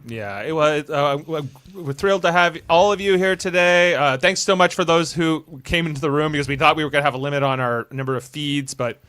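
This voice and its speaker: A man hosting a casual group podcast chat, speaking in a halting, rambling way. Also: fairly high pitch, a bit bright, clean tone. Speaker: host of a fan script-reading podcast.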